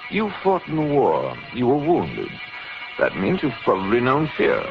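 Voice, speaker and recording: Smug sinister radio villain, swooping pitch. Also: male voice, wildly swooping pitch, vocal fry, over music, heavily acted. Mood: cool menace. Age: middle-aged. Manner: deliberate, smug, menacing, formal diction. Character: tall sinister fifty-year-old kidnapper, coolly taunting experimenter. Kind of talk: 1940s radio drama acting. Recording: muffled vintage 1940s radio recording.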